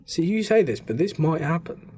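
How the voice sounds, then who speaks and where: A man's voice: a little high, lively intonation, normal speed, mellow, breathy edge, no room sound. A British man co-hosting a podcast, bantering casually and teasingly, often cutting in with jokes. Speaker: podcast co-host.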